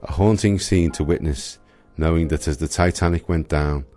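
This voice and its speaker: Very deep solemn male narrator. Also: moderate pace, mellow, soft background music. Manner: solemn storytelling. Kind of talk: scripted radio drama narration.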